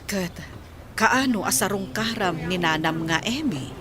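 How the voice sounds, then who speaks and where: Lively loving mother played by a woman. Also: lowish voice, unhurried. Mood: proud, tender. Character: loving, proud mother of a grown son. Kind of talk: radio drama acting. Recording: crowd noise behind.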